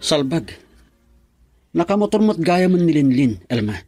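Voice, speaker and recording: A man's voice: fairly high pitch, very animated, a little slow, over loud music. An actor with an Ilocano accent playing an insolent, jealous young man who sneers and accuses in a radio drama. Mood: suspicious.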